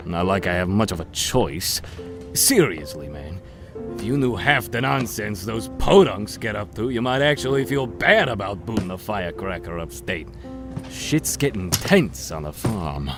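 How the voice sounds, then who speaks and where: A man's voice: lowish voice, lively intonation, a little slow, over music. A man playing a wisecracking, world-weary talking pig in an audio drama, slangy and sardonic.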